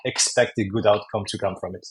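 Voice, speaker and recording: Founder guy on a podcast, lowish voice, slow and hesitant, hunting for words. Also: fairly even intonation. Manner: measured, hesitant, searching for words. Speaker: podcast guest, company founder. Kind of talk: casual interview talk.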